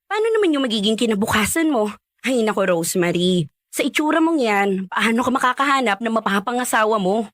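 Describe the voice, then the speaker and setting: Pushy, higher-pitched young friend. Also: female voice, animated, brisk, a bit bright, no echo, clean studio sound. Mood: pushy, concerned. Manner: probing, blunt, advising. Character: nosy, frank young female friend, well-meaning but pushy. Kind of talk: chatty radio drama dialogue.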